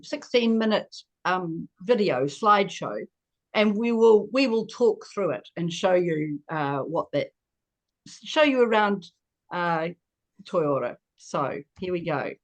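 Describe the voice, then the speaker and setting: Halting older New woman presenting. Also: medium pitch, expressive. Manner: halting, self-correcting. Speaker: co-housing resident presenting. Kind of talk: informal presentation. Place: video call from Dunedin.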